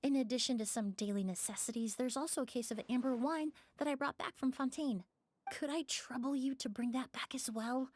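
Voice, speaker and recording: A high-voiced, refined young lady. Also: darkish tone. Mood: courteous. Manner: courteous, measured. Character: polite, composed, refined young woman, game character. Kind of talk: video game voice acting.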